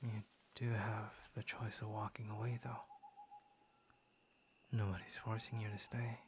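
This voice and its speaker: A man playing a gentle, lonely young werewolf in an intimate ASMR roleplay, speaking softly and often slipping into a breathy whisper. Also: lowish voice, little pitch movement, background noise, close to an everyday voice. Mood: tender, hopeful. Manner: soft, breathy, often dropping into whispers, hesitant pauses. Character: gentle, lonely young male werewolf turned tender suitor. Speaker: voice actor in audio roleplay. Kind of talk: ASMR boyfriend roleplay, intimate scripted monologue.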